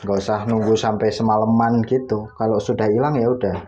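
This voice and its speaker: A man's voice: lowish voice, little pitch movement, fairly quick, warm tone, slight background noise. A man, casually explaining step by step while working at home. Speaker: laundry tutorial host. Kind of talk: casual how-to demonstration. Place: home laundry area, indoors.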